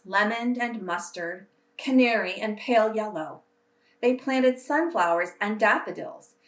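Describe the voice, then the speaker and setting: A woman reading aloud to children as a storyteller, with a deliberate, simple delivery. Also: fairly high pitch. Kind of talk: children's picture book read-aloud with casual introduction. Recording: roomy echo, distant microphone.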